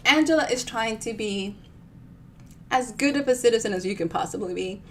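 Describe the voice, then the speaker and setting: A woman giving an expressive storytime reading of their own fiction, with chatty, informal asides. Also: on the high side, moderate pace, a little hiss or hum. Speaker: author reading their own novel on a live show.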